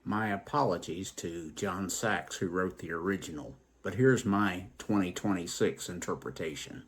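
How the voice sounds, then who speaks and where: A man hosting a story program, telling tales and reflecting on their morals in a deliberate, emphatic way. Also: low voice, slight background noise. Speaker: storytelling show host.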